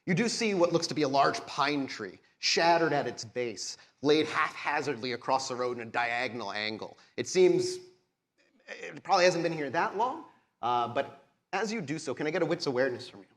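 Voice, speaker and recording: A man's voice: on the high side, lively intonation, moderate pace, a bit bright. Man narrating as game master. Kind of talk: narrating a live tabletop game. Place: live convention show on stage.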